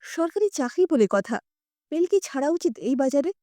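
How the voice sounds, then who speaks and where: A woman voicing a mild, soft-natured young married son in an audio story. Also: high voice, big pitch swings, normal speed, dry recording, clean studio sound. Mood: supportive.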